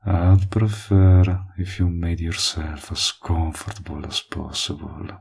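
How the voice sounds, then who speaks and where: A man playing a flirtatious, possessive villain in an intimate roleplay audio, teasing and smug, with a husky, gravelly voice that often drops toward a murmur. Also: low-pitched, steady tone, takes it slowly, darkish tone, exaggerated voice.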